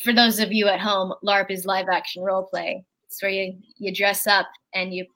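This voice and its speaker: A person with a very high speaking voice, chatting casually as a guest at home, rambling and halting with lots of fillers and restarts. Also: female voice, flat delivery, pushed and bright, low-quality recording. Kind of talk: casual chat. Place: at home with a candle lit.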